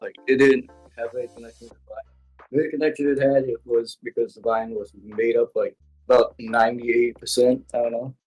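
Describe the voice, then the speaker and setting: A man answering casually as an interview guest, halting and repeating short phrases as he goes. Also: medium pitch, flat delivery, darkish tone, slightly rough, rough recording. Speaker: interview guest, web series creator. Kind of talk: casual chat. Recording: music playing behind. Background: music.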